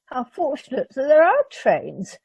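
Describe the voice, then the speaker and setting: A woman's voice: high voice, wildly swooping pitch, measured pace, creaky, a little mellow, husky, no echo, highs cut off, exaggerated voice. A woman playing a fussy, affronted upper-class houseguest in an amateur radio play, arch and wordy.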